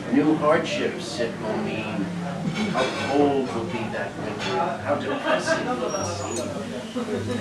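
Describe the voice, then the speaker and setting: An older man reading a poem aloud at a social gathering, with a deliberate, halting delivery. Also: medium pitch, background noise. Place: indoors, large room at a social gathering. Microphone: distant camera microphone. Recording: echoey crowded room, distant microphone. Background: people chattering and laughing.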